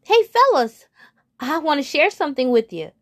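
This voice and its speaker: A woman's voice: sits high, animated, unhurried, voice pushed up. A woman voicing a shy but hopeful young male frog who stammers when nervous. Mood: eager.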